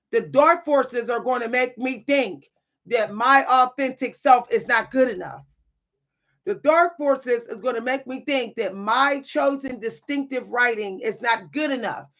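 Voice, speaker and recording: A woman with a deep, at times loud voice, speaking in a bold, sassy way with dry humor and repeating phrases for emphasis in a motivational monologue. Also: muffled recording. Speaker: spiritual content creator and writer.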